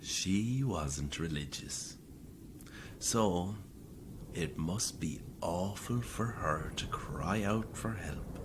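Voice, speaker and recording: Deep-voiced, menacing Irish priest. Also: male voice, background noise. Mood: bitterly amused. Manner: deliberate, sardonic, conversational confession. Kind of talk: audio horror drama acting.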